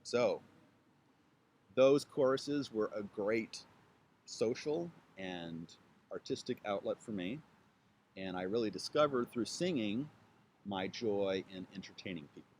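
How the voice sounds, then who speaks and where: Playful guy vlogging outside, bouncy up-and-down voice, spells stuff out slow. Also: on the low side, expressive, a little mellow, slight background noise. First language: English. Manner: chatty, playful, spells words out slowly. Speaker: YouTube channel host. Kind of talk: casual self-introduction to camera. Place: outdoors with trees behind.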